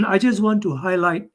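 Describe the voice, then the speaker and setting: Older man, Malaysian accent, high-pitched, professor, informal keynote lecture, direct wording, emphatic repetition. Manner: repeats phrases for emphasis, simple direct wording.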